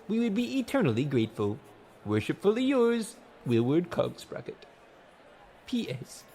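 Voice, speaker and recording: A man's voice: high-pitched, warm tone, slight background noise, pitched above the performer's own voice. A man voicing an unflappable, officious male secretary to a god in a comic fantasy audiobook, formal and precise.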